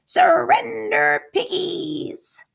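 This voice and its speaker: Woman playing a shrill, screechy, greedy storybook witch. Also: huge pitch swings, extremely slow, creaky, fairly bright tone, full character voice. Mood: menacing glee. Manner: theatrical, gleeful, exclamatory. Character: grouchy, greedy, hungry witch with a screechy temper. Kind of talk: children's storybook read-aloud character voice.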